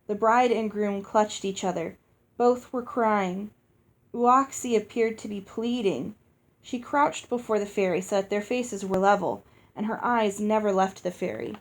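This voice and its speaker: A woman's voice: medium pitch, darkish tone. A woman narrating a children's fantasy novel aloud in the first person, as a storybook reader. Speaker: audiobook reader.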